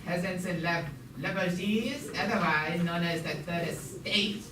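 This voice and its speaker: A young student voicing a formal puppet museum guide in an amateur school show, lecturing haltingly and stumbling over words. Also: mid-range voice, close to an everyday voice. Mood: formal, explanatory. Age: teenager or young student. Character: puppet museum guide, formal, mock-learned, named Schnitzelbach. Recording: noisy, echoey room, far from mic.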